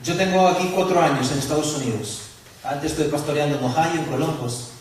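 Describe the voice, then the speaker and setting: A man preaching as a pastor, lively, asking the congregation questions and joking with them. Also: a little high, fairly quick, a little hiss or hum. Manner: lively, asks the audience questions and jokes. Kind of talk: sermon to a church gathering. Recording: echoey hall from far off.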